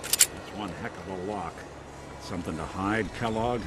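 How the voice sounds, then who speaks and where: A man's voice: sits low, a little slow, over loud music. An actor playing a wry, streetwise middle-aged male detective in a video game, with clipped noir patter. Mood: grim, wry.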